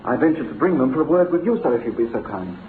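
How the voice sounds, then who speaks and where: An actor playing an earnest, deferential young English clerk with a polite, emphatic manner in an old-time radio drama. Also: male voice, medium pitch, sing-song, fast talker, natural voice. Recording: tinny, noisy old radio recording.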